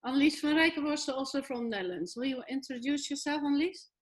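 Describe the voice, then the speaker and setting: A woman's voice: high-pitched, fast. A woman hosting a talk show, introducing guests and prompting them with friendly questions. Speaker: show host.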